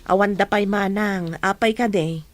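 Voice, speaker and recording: A woman acting as a practical Ilocano village mother in a radio comedy. She speaks at a middle pitch and is firm with her children. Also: steady tone, moderate pace, close to an everyday voice. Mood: mild.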